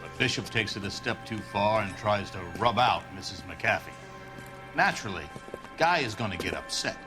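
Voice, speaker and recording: Low-voiced smug gangster, played by a man. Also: lively intonation, normal speed, lightly acted. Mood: smug, unbothered. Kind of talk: dramatic video game acting. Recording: music behind the voice.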